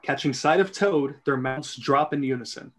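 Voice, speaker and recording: A man's voice: mid-range voice, normal speed, a bit bright. A man narrating screenplay stage directions in an amateur group reading.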